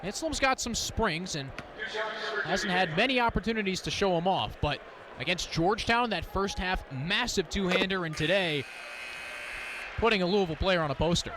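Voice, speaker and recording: A man's voice: sits high, moderate pace. A man giving live basketball analysis on radio as color commentator, in an analytical, stat-driven way. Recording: loud arena noise behind the voice. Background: gym ambience, bouncing basketballs.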